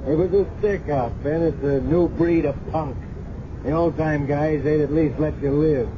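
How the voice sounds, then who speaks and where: A man playing a gruff, world-weary police captain with blunt, slangy talk in a 1970s radio drama. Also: fairly high pitch, steady tone, normal speed, close to an everyday voice. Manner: blunt, slangy, hard-boiled. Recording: muffled, noisy 1970s radio recording.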